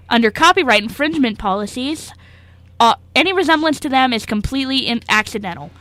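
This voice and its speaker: A woman's voice: on the high side, fairly bright tone, no echo. A young woman chatting informally as a co-host on a radio show. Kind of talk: casual chat. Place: radio studio.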